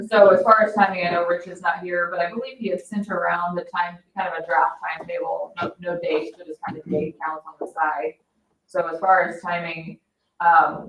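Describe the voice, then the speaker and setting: A woman's voice: fairly low pitch, vocal fry, fairly bright tone. A woman explaining matters in a businesslike way as a financial advisor at a public meeting. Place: indoor municipal meeting room. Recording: echoey meeting room, distant mic, dull, faint noise.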